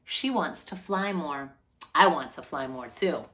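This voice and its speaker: A woman reading a children's picture book aloud as a storyteller, with animated, deliberate phrasing and drawn-out words. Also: low-pitched.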